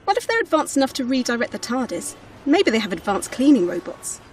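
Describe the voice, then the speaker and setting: A woman's voice: fairly high pitch, very animated, a little fast, far from the mic, slight background noise. A woman playing an upbeat, unworried travelling companion in an audio drama, breezy and teasing.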